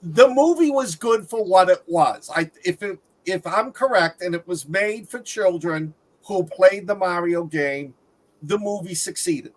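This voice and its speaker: A man's voice: high-pitched, animated, unhurried, slight background noise, poor audio. A man co-hosting a film review show, talking casually with the other panelists. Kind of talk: casual panel chat about films.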